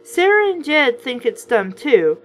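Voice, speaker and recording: A woman's voice: high-pitched, big pitch swings, unhurried, soft background music. A woman reading a children's book aloud in a casual way, breaking off for chatty, wry, skeptical commentary.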